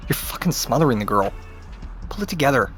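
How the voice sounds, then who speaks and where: A man's voice: medium pitch, big pitch swings, brisk, a bit bright, faint music behind. A young man with an American accent, acting in an audio drama as a troubled, sarcastic, loyal teenage boy who talks in casual slang. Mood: exasperated.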